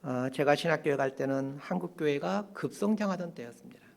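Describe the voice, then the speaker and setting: A man in his fifties preaching a sermon as a pastor, in measured phrases with pauses and emphatic stresses. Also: fairly high pitch, vocal fry, darkish tone. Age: 50s. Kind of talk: sermon, prepared and reflective.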